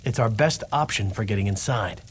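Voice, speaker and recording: A man's voice: on the low side, fairly quick, a little hiss or hum, close to an everyday voice. An actor playing a charming, self-assured male knight in a fantasy video game, with a playful delivery.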